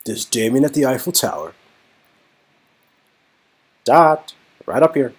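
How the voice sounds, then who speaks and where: A man's voice: medium pitch, very animated, takes it slowly, husky. A man playfully reading and playing a story game, casual and a little hesitant, often repeating himself. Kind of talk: casual playthrough with narration read aloud.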